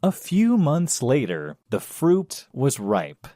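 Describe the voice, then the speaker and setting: A man narrating a children's story in a measured, expressive storytelling manner. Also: medium pitch.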